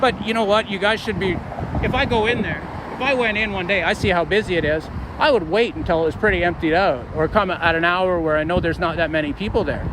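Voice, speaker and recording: A loud, earnest man arguing his point with a fairly high voice. He is outdoors, with heavy traffic and wind noise behind him. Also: quick pace. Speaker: citizen filming police. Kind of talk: confrontational street conversation. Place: outdoors by a street.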